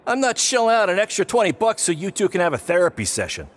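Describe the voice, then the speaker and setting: A man's voice: high-pitched, very animated, fast talker. An actor playing a brash, boastful middle-aged wrestling promoter in a video game, with a hammy salesman manner. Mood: boastful, pitching. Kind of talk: video game cutscene acting.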